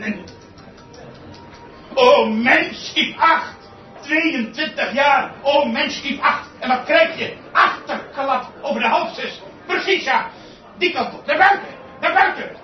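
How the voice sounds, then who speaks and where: An actor playing an embittered, paranoid orchestra conductor in a radio drama, ranting theatrically in pompous, repetitive phrases. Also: male voice, very high voice, heavily acted. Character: manic, embittered, paranoid male orchestra conductor, grandiose and raving. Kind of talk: radio drama monologue acting. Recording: muffled roomy radio play recording with music.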